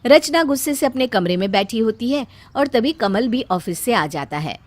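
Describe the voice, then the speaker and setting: A woman narrating a cartoon family story in a calm, even storytelling manner. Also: a little high, normal speed.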